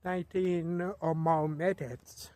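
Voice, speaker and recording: An eighteen-year-old man vlogging outdoors at night, talking to a handheld camera, slow and repetitive, often restarting his sentences. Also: a little high, fairly even intonation, darkish tone, a little hiss or hum. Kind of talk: casual vlog narration.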